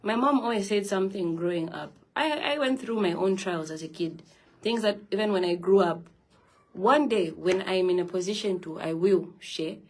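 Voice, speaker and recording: A woman's voice: mid-range voice, normal speed, low-quality recording. A young woman hosting a phone live stream, talking earnestly and encouragingly to her viewers about self-love and positivity. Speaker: livestream host.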